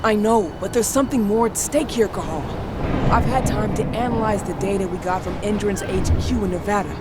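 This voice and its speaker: A tough female commander from a video game, acted by a woman. She speaks in a medium pitch, direct and urgent. Clear outdoor noise sits behind her voice. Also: a little fast, close to an everyday voice. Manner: direct, serious briefing. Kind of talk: video game cutscene acting.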